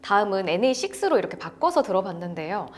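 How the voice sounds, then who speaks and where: A woman's voice: medium pitch, very animated, fast talker, slight background noise. A woman co-hosting a conversational product review, describing her impressions. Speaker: co-host of an audio gear review show.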